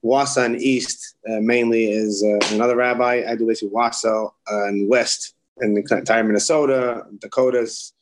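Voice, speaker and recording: A man's voice: medium pitch, fairly even intonation, normal speed, noisy background. Dutch-accented man, rambling and hesitant. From Netherlands. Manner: rambling, repeats words and restarts often. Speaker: kosher supervision rabbi. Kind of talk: casual interview conversation.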